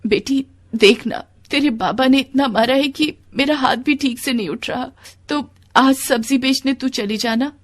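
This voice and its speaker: Woman voicing a plaintive, long-suffering mother, higher-pitched. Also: measured pace, pauses often. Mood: pained, calling. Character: devout, long-suffering village mother and wife, gentle but firm. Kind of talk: devotional moral storybook dialogue.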